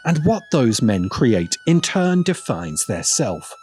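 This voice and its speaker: A man narrating a scripted video essay in a deliberate, emphatic manner with pointed pauses. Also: medium pitch, very animated, a little mellow, slightly rough, faint music behind.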